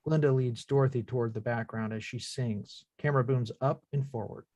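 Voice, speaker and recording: A man's voice: mid-range voice, fairly even intonation, a little fast, warm tone, no room sound. Man reading stage directions, dry close sound. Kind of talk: scripted narration of screenplay action at a live table read.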